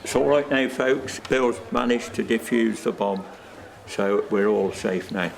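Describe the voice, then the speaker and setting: An actor playing a calm male bystander who reassures people in an audio drama. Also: fairly low pitch, fast talker, creaky, noisy background. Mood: reassuring.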